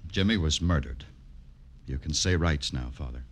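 An actor playing a weary, hardboiled police detective, brooding and poetic in the style of 1950s radio noir. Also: male voice, very deep voice, moderate pace. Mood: businesslike, somber. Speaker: detective narrator and lead. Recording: old radio recording with music behind.

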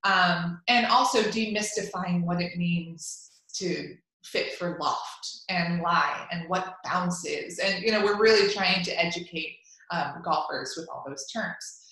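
Chatty woman w/ spanish as first language, lowish voice, lots of ums, echoey. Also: unhurried, a bit bright. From Cuban family. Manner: upbeat, chatty, many fillers and restarts. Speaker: golf media executive. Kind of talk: interview answers. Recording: echoey room.

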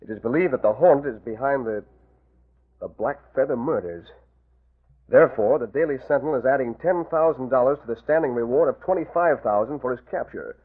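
Man doing old radio gangster boss, cold n scheming, raspy voice, muffled 1940s recording. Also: medium pitch, fairly quick, close to an everyday voice. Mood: calculating. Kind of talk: 1940s radio drama acting.

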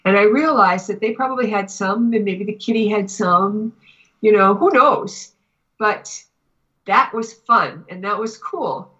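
Bright-voiced woman telling stories. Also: medium pitch, unhurried, lo-fi sound. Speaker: guest, spiritual teacher. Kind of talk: casual storytelling in a talk show.

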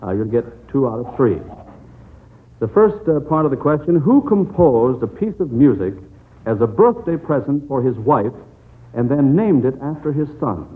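A man's voice: mid-range voice, lively intonation, normal speed. A man hosting a 1940s radio quiz as substitute quizmaster, chatting with children in a folksy, chummy way. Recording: tinny, noisy old radio broadcast.

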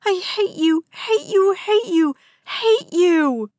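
A woman reading aloud and voicing a quick-tempered teenage girl who screams and pleads in a high voice. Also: darkish tone, dry recording. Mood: screaming rage.

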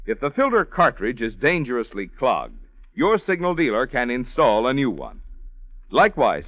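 A man's voice: mid-range voice, no echo. An American man reading scripted announcements in a polished, emphatic 1940s radio style. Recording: muffled vintage radio broadcast recording.

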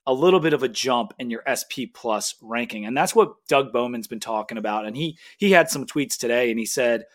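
Male podcast host, mid-range voice, keen and chatty sports talk in a relaxed conversational style. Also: medium pitch, brisk.

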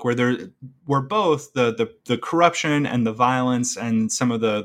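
A man's voice: medium pitch, a bit bright. A man hosting a conversational book interview, framing his points thoughtfully and at length, repeating words as he goes. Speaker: podcast interviewer.

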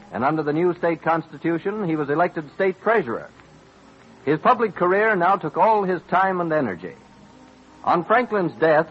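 A man giving formal, declamatory scripted narration for a historical radio drama in a 1940s style. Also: a little high. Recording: dull vintage radio recording with music.

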